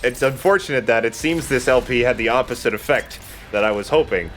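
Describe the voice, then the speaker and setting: A man's voice: mid-range voice, a little fast, fairly bright tone, a little hiss or hum. A man giving casual, chatty, self-deprecating commentary while he plays a video game as host. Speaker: let's play host playing the game.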